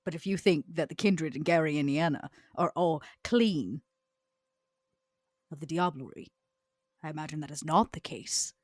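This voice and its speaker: A woman's voice: low voice, animated, moderate pace, long pauses, darkish tone, no echo. A woman playing a proud, scornful old vampire in a tabletop roleplay, with haughty, theatrical, formal phrasing. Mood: cool, firm. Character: proud, scornful old female vampire named Vera, elegant and ambitious.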